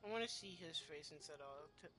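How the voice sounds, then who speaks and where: A woman's voice: sits low, expressive, fast, noisy background. A woman giving casual, joking playthrough commentary as a game streamer, rambling and slangy. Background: game music.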